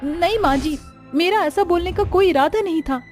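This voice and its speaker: A woman voicing a timid young newlywed bride who pleads and defends herself in a high, strained voice. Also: normal speed, darkish tone, soft background music, lightly acted. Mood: pleading.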